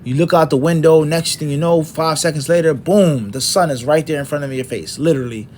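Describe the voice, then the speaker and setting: A middle-aged man giving a lecture in a fairly high voice, stressing each point in a long list and firing off rhetorical questions. A faint low rumble sits under the recording. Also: fairly quick, darkish tone. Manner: emphatic, lists points one by one with rhetorical questions. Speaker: Islamic teacher.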